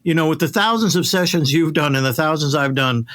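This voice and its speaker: Man with a slightly high voice, emphatic. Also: fairly quick, fairly bright tone. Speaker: interview guest, voice casting professional. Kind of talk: casual industry talk.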